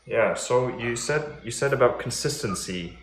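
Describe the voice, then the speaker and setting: A man in his thirties hosting a casual chat, relaxed and reflective, asking friendly questions. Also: mid-range voice, normal speed. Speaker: host. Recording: echoey room.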